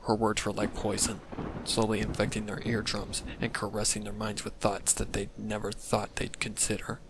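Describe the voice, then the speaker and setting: A man narrating a scripted dark mystery story as a dramatic reading. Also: fairly low pitch, completely flat delivery, normal speed, noisy background. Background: thunder and rain effects.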